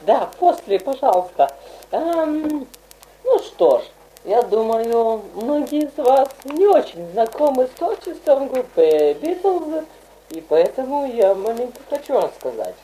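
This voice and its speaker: A woman playing a mock lecturer in a comedy skit, talking haltingly with many fillers. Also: high-pitched, sing-song, mellow, a little hiss or hum, poor audio. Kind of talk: parody radio program.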